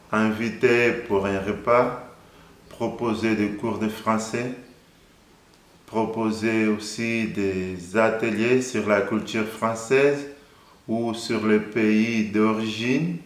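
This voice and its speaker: A man in his thirties from Angola, a Portuguese speaker, calmly and earnestly sharing his faith story in an interview. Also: lowish voice, slow, echoey room. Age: 30s. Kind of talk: personal faith testimony.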